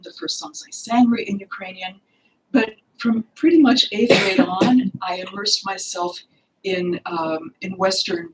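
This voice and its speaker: An older woman speaks into a podium microphone in a library hall, her voice slightly gravelly. She talks earnestly about her work, stopping often to search for words. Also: fairly high pitch. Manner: earnest and expressive, with frequent pauses and fillers. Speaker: author presenting her book. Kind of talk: lecture talk at a book launch. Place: library hall with bookshelves, indoors.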